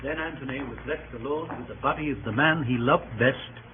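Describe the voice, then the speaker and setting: An actor as a sober storyteller, narrating a Roman tragedy in scripted 1930s radio drama style. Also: male voice, mid-range voice, brisk, natural voice. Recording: tinny, hissy 1930s radio broadcast.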